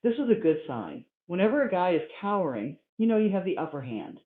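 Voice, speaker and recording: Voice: medium pitch, sing-song, a little fast. A person reading a humorous first-person story aloud as scripted narration. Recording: echoey room, thin phone-like sound.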